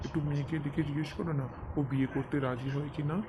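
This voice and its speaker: A man's voice: a little high, fairly even intonation, very fast, lightly acted. A man voicing a doting, insistent father in an amateur cartoon dub, speaking. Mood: pleased, earnest. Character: doting father of a village girl, quick to scold his wife. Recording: noisy amateur home recording.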